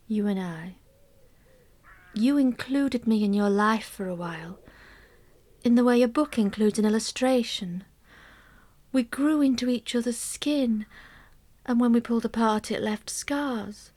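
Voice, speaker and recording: A wistful, slow-speaking middle-aged wife, confiding. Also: female voice, on the high side, darkish tone. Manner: measured, confiding, often dropping to a near-whisper. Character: middle-aged wife and mother, wistful, secretly grieving a love affair. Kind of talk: intimate dramatic monologue, scripted radio play narration.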